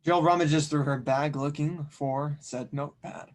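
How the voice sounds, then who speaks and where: A man's voice: on the high side, fairly even intonation, measured pace. A man acting as host and narrator, introducing and reading stage directions at a script table read.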